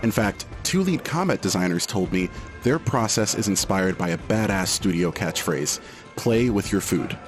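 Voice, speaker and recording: A man's voice: low voice, expressive, moderate pace. A man reading scripted narration as a games journalist, with music behind him.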